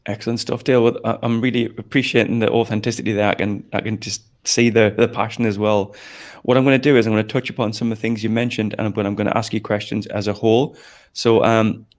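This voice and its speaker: A man's voice: fairly low pitch, little pitch movement, fast talker, hardly any pauses. A man hosting a live online showcase, interviewing guests in an informal, encouraging and teasing way, often rephrasing his questions. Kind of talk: informal, upbeat event interview.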